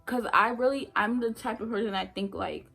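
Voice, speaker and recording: Young woman venting on her vlog, gravelly husky voice, blunt, keeps repeating herself to make the point. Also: a little high, steady tone, normal speed, a bit bright, soft background music. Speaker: former nursing assistant vlogger. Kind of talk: casual storytelling vlog.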